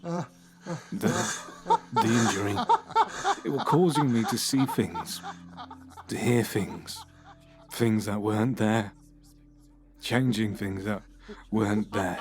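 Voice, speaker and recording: An actor playing a troubled, unwell man who confesses to the police in a halting, hushed voice with nervous laughs. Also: fairly low pitch, loud music behind the voice. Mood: haunted. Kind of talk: audio horror drama.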